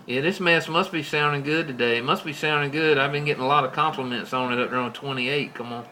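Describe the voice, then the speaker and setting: A man's voice: mid-range voice, fairly even intonation, fast. An American man chatting casually in folksy, colloquial CB radio slang. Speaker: CB radio operator. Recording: clearly noisy CB radio setup.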